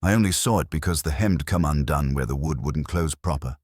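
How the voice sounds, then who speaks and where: A man's voice: extremely low pitch, fairly quick, a little mellow, dry recording, studio quality. A man voicing a stooped old gravedigger in a mystery story, speaking in a deferential murmur with rustic turns of phrase. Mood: hushed, uneasy. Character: stooped old male gravedigger, deferential, hushed, quietly poetic. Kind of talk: dramatised audiobook-style mystery storytelling.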